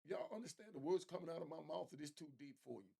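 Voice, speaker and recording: A man's voice: on the high side, lively intonation, fast talker, creaky, dark tone, slightly rough. A man giving informal opinion commentary to viewers, talking in street slang with emphatic repetition.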